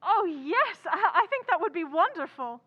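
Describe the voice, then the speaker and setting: A woman's voice: extremely high pitch, sing-song, moderate pace, highs cut off, over loud music. A woman playing an eager, talkative young woman writer in old-time radio drama style, with earnest enthusiasm. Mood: delighted.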